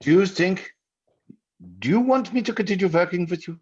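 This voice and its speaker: An actor playing a stern, authoritative doctor of the supernatural, grave and deliberate, in an old-time radio drama. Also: male voice, high voice, a little mellow, husky. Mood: commanding. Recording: muffled old radio recording.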